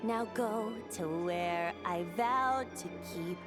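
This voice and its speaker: A woman's voice: mid-range voice, slow pace, close to an everyday voice. A woman voicing a playful, carefree boyish bard who is a wind god in an anime video game, with a lilting, theatrical, poetic delivery. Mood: lyrical, serene. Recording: game music behind the voice.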